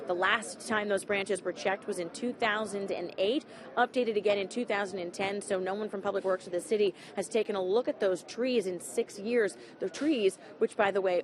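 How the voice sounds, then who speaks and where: A woman field reporter speaking live from an outdoor park, emphatic and earnest. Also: mid-range voice.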